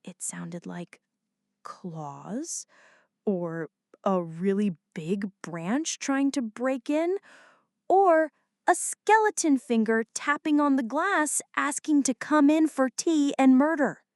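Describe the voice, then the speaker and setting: A woman's voice: sits high, slow, dry recording, clean studio sound. A woman acting a jumpy, flirty, dramatic friend in an ASMR roleplay, talking to the listener in a teasing, mock-indignant way. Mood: alert, nervous.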